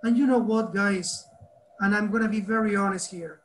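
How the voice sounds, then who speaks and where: Man, Argentinian accent, high-pitched, earnest teacher trainer, video call. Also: normal speed, poor audio. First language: Spanish. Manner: earnest and animated, asks the group questions. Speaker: teacher trainer hosting an online meeting. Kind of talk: casual talk to a video-call group.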